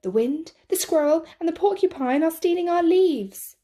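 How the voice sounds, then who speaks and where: A woman's voice: very high-pitched, animated, moderate pace, mellow, pitched above the performer's own voice. A woman voicing an anxious, caring young male fox cub in a storybook, earnest and pleading. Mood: alarmed.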